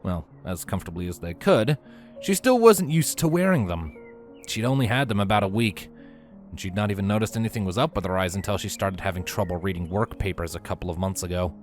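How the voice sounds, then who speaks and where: A man narrating a story from a script in an expressive, animated way with theatrical emphasis. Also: low voice, brisk, soft background music. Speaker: audiobook-style narrator of fan fiction.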